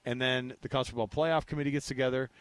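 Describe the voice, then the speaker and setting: An American man co-hosting a sports radio show in casual talk, animated and repeating phrases for emphasis, often with rhetorical questions. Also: mid-range voice, quick pace, smooth, no echo.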